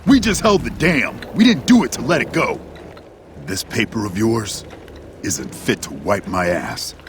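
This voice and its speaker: Gruff, low-voiced defiant general. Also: male voice, sing-song, unhurried, background noise. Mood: angry, defiant. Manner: blunt, combative, profane. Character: gruff, defiant, foul-mouthed military general. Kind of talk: video game dialogue.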